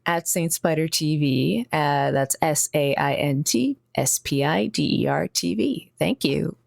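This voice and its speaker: A woman's voice: low voice, measured pace, creaky, darkish tone, dry recording, studio quality. A woman playing a tabletop roleplaying game, talking casually in character with wry, slightly formal phrasing.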